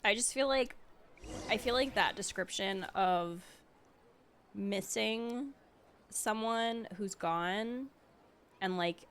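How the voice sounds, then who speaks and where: A woman's voice: medium pitch, takes it slowly, long pauses, fairly bright tone, slight background noise. A young woman streaming her reaction to a game, emotional and rambling with many fillers, with game music behind. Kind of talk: casual reaction commentary.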